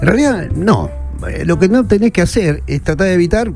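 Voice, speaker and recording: Creaky, animated Argentine man hosting radio. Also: sits high, fairly quick, soft background music. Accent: Argentine, Rioplatense. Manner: colloquial, emphatic, repeats himself for stress. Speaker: radio host. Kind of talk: casual radio chat.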